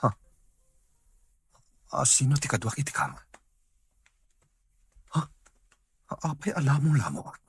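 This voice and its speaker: Actor as a very husky, troubled Ilocano man. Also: mid-range voice, very animated, measured pace, long pauses, heavily acted. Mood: confused, startled. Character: troubled married man, guilty and hesitant. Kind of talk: Ilocano radio drama acting.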